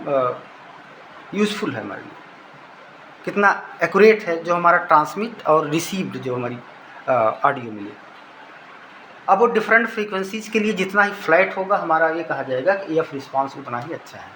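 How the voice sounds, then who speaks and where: A high-voiced Indian man teaches in an informal lecture style. He explains step by step and often rephrases his points. The recording is echoey, with faint noise behind him. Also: pushed and bright. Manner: didactic, repeats and rephrases phrases.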